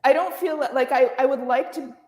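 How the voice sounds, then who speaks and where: A woman artist in casual, reflective conversation, animated, quoting her own thoughts and searching for words, recorded in a reverberant room. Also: sits high, brisk. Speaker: artist being interviewed. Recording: echoey room with long reverb.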